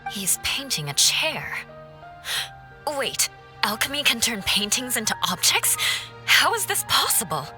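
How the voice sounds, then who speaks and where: A woman's voice: a little high, big pitch swings, sharp tone, faint music behind, heavily acted. A woman voicing a composed, stern but protective young knight in an anime video game, with formal, precise speech. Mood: surprised. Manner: formal, precise, measured wording.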